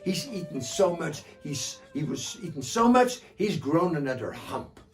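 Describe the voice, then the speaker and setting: A man's voice: on the high side, very animated, unhurried, recorded from a distance, faint music behind. A man voicing a hungry, scheming male trickster who speaks in flowery, mock-soothing phrases. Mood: smooth-talking.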